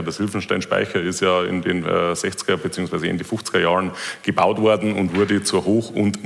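A man's voice: low-pitched, creaky. A man, a local politician, answers a question at a public panel with a lot of hesitant fillers. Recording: reverberant hall.

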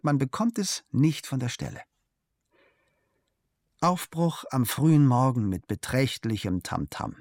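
A man calmly reading a travel diary aloud in a measured storytelling manner, recorded close to a studio mic. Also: medium pitch, no echo. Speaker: narrator.

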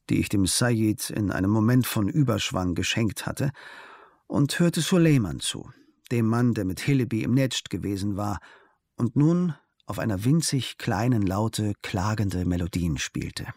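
A man's voice: lowish voice, creaky, a little mellow, no room sound, clean studio sound. A man reading a literary travel diary as scripted narration. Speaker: audiobook narrator.

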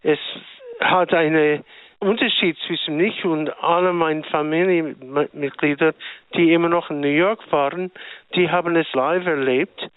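A man's voice: on the high side, dry recording. Older man calling in. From United States. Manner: slow, reflective, with non-native grammar. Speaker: caller. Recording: tinny phone line.

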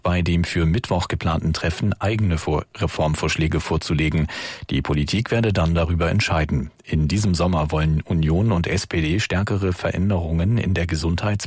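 A male radio newsreader delivers the bulletin in a calm, even way. His voice sits very low and has a husky grain. It sounds close and dry, with no room around it. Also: no room sound. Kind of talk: radio news reading.

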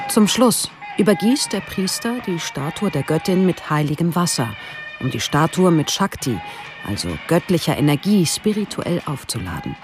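A woman's voice: sits low, sing-song, normal speed, music in the background. A woman reading scripted narration for a radio documentary.

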